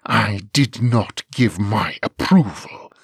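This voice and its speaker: Storybook dragon, huge, old and territorial, voiced by a man, deep booming rasp, very slow, lively swoops of pitch. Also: no room sound, clean studio sound, heavily acted. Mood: angry, suspicious. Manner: angry clipped staccato. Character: huge ancient male dragon, angry and territorial. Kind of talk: audiobook character voice.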